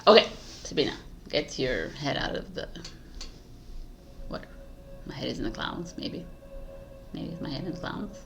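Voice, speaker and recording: A woman's voice: extremely low pitch, very animated, moderate pace, gravelly, long pauses, over music. A woman tarot reader chatting casually to camera, laughing at herself, restarting often and losing her voice. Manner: giggly, scattered, restarts often. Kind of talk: casual spiritual talk to camera.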